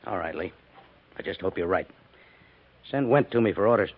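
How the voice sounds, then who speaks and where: An actor playing a gruff, skeptical cavalry major, brisk and authoritative, in 1950s radio drama style. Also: male voice, deep voice, animated, background noise. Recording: tinny narrow-band 1950s radio recording.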